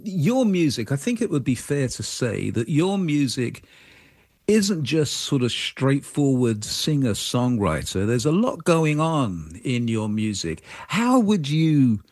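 Lively-voiced male radio host. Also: medium pitch, measured pace, no echo, clean studio sound. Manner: rambling, hesitant, with repeated words. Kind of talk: radio interview.

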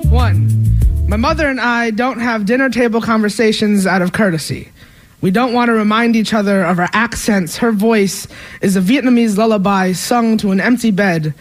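High-voiced young man performing poetry. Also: steady tone, faint music behind. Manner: measured, rhythmic, emphatic delivery. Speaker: spoken word poet. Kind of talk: spoken word poetry performance.

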